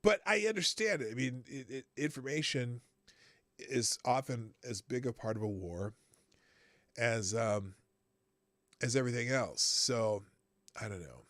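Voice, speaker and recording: A man's voice: mid-range voice, lively intonation, a bit bright, dry recording, studio quality. A man hosting a live news commentary stream, reading headlines aloud and answering chat, with frequent restarts and fillers. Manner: halting, many restarts and fillers, reads articles aloud, chats with viewers.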